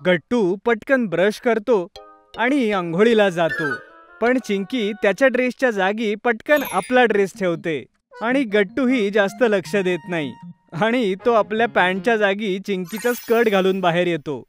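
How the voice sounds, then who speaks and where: Children's cartoon storyteller: woman, mid-pitched voice, scripted narration with music underneath. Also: moderate pace.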